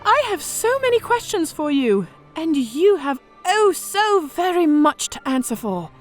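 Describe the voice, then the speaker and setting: A woman's voice: very high-pitched, sing-song, unhurried, faint music behind, full character voice. A woman playing a smug female orc centurion in an audio drama, speaking with mock-sweet politeness and cool menace. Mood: probing, menacing.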